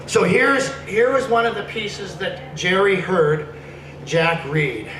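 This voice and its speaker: A man's voice: high-pitched, slow pace, over a noisy crowd. A man giving a playful, dramatic spoken-word performance, reading aloud in a casual live setting. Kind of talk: animated live poetry reading with casual introductions. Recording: roomy, distant mic with faint noise.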